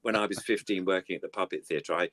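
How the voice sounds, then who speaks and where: A man's voice: sits low, quick pace, fairly bright tone, dry recording. An older man with an English accent, chatting casually as an interview guest in a rambling, anecdotal way. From United Kingdom. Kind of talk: casual reminiscing chat.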